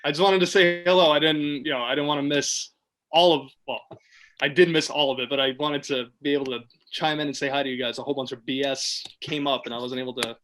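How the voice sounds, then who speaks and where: A man's voice: fairly high pitch, fairly even intonation, quick pace, a bit bright, slight background noise. A man chatting casually as a guest, informal and a little apologetic in manner. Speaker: late-joining guest. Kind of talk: casual chat.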